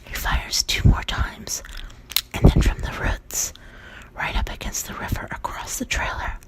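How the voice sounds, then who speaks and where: A storyteller whispering a horror story throughout, in a loose, profane way. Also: poor audio.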